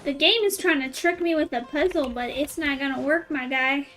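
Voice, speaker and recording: A woman's voice: high-pitched, moderate pace, fairly bright tone, faint music behind. A woman streamer commenting casually while playing a game, with chatty, wry asides. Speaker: gaming streamer.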